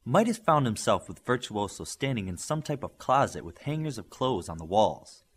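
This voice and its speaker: A man's voice: lowish voice, sing-song, normal speed, breathy edge. A man reading an audiobook, narrating a scripted story. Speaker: audiobook reader.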